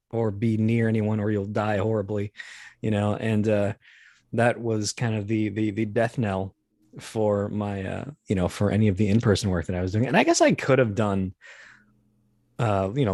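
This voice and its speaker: Low-voiced man, rambling and wry. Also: a little mellow, no echo, studio quality. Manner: rambling, wry, self-deprecating humor. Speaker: interview guest, voice actor and performer. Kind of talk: casual chat, telling anecdotes.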